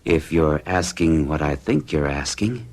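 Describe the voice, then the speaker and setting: A man's voice: very deep voice, animated, measured pace, darkish tone, heavily acted. An actor playing a troubled young man recounting an unsettling romance to his psychiatrist in an earnest, hesitant way. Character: troubled young American man, hero in love and afraid. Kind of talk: 1970s radio drama acting.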